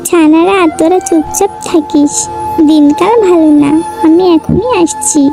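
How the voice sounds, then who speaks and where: A woman's voice: very high-pitched, steady tone, a little slow, music in the background. A woman voicing a small, protective mother bird in a children's cartoon, earnest and chirpy. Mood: worried, earnest.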